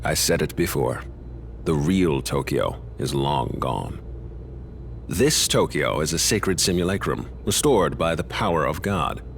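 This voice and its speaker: A middle-aged man playing a grave, calculating leader who speaks deliberately and with authority. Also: rumbling low, lively intonation, creaky, a little hiss or hum, exaggerated voice. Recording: game music faint behind.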